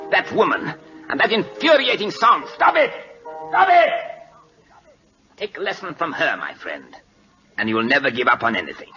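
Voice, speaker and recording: A man's voice: a little high, big pitch swings, moderate pace, long pauses, pushed and bright. An actor playing an imperious, exasperated king in a 1940s radio drama, with clipped pidgin English. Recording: dull old radio recording, faint music.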